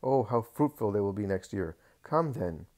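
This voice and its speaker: A man putting on a squeaky greedy landowner. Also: animated, normal speed, a little mellow, close to an everyday voice. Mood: evasive. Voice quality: squeaky, fox-like. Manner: pleading and boastful by turns. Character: greedy rich male landowner, proud, wheedling.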